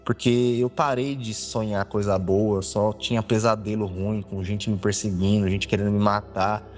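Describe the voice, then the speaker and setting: A man's voice: fairly low pitch, fairly even intonation, moderate pace, a little mellow. A man in his twenties with a Brazilian accent telling a personal story informally, recorded on a phone, with reverb and music. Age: 20s. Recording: cleaned-up phone audio, long reverb.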